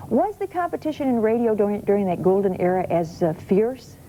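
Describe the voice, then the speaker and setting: A woman's voice: medium pitch, sing-song, fairly quick, mellow. An American woman co-hosting a talk show in a conversational interviewing style. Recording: muffled 1970s TV recording, clear noise.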